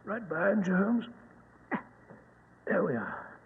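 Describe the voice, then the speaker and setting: An actor playing a bumbling, eager older English gentleman doctor with a British accent, fussy and exclaiming, in a 1940s radio drama. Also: sits high, sing-song, slow pace, phone-quality sound, heavily acted. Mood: eager fussing. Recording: thin, noisy old radio recording.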